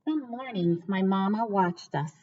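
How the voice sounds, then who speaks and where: A woman reading a storybook aloud to children like a teacher, pausing to ask listeners questions. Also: mid-range voice, a little mellow. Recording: dull, lo-fi sound.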